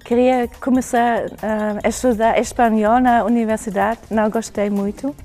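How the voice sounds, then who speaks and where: A woman's voice: fairly high pitch, fairly even intonation, moderate pace, warm tone, soft background music. A woman speaking Portuguese as a learner in interview answers, hesitant and searching for words.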